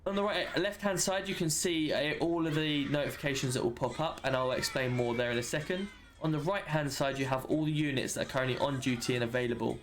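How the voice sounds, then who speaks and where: A man's voice: medium pitch, quick pace, few pauses, slight background noise. A man giving an informal, matter-of-fact walkthrough of a game system, explaining it step by step as a tutorial. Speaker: roleplay server member giving a tutorial.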